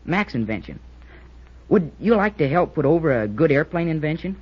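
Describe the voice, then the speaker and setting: Eager, lively schoolboy cadet. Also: male voice, a little high, moderate pace, husky. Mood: eager, confiding. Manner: chatty, boyish, earnest explaining. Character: eager, joking schoolboy cadet named Jerry, male. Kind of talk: old-time radio drama acting. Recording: muffled vintage radio recording.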